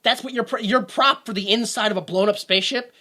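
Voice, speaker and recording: A man's voice: high voice, fast talker, pushed and bright. A man co-hosting a podcast, sarcastically recapping a movie in casual chat and mocking it with quoted voices. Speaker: podcast co-host.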